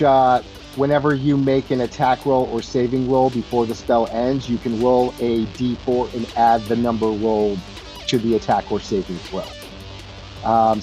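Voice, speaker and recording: A man's voice: mid-range voice, warm tone, low-quality recording. A man acting as game master, narrating tabletop combat turn by turn.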